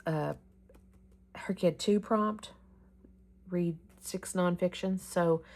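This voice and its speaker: A woman's voice: fairly low pitch, a little mellow. A woman chatting casually about her reading challenge plans, rambling and restarting as she thinks aloud.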